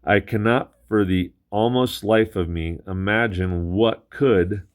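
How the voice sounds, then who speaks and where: A man voicing a huge octopus monster that speaks in a soft, eerily polite, formal way. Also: lowish voice, takes it slowly. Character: roaring octopus monster, then oddly polite.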